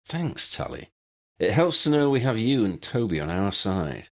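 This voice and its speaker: A man's voice: fairly low pitch, sing-song, moderate pace, fairly bright tone, pitched above the performer's own voice. A man voicing an earnest, serious young male hero in an audiobook, speaking plainly. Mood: grateful.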